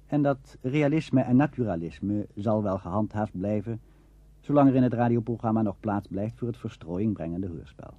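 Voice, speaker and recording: A man presenting a scripted radio documentary in a formal, measured and expressive manner. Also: fairly low pitch, muffled recording.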